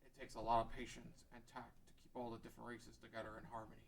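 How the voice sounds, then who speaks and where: A gentle chieftain of rock-bodied folk acted by a man. He is grave and unsure of his own strength, in a mid-pitched voice for a fantasy reading. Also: monotone, fast talker, gravelly, breathy edge. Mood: solemn. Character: gentle, self-doubting male rock-bodied Goron chief. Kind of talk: fan table read of a fantasy script.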